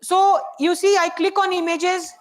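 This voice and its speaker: A soft-spoken woman with an Indian English accent, teaching a hands-on AI workshop and checking in with the room often. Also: very high voice, flat delivery, measured pace. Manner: chatty, checks in often with "right?" and "okay?". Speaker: AI workshop presenter.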